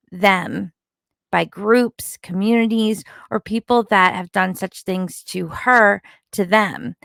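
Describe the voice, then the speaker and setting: A woman commentator recaps events in a disapproving, opinionated way. Her voice has a noticeable gravelly creak at a middling pitch. The sound is dry, with very little room to it. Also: fairly bright tone, no room sound. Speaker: true-crime commentary content creator. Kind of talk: opinionated news-recap commentary, partly scripted.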